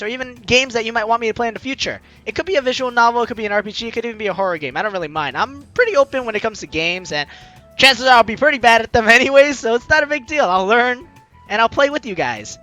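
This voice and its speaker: A man's voice: very high-pitched, expressive, fast, sharp tone, lo-fi sound. A man hosting a gaming video, reading game narration aloud and chatting casually with playful asides. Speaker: gaming video host, let's player. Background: game music.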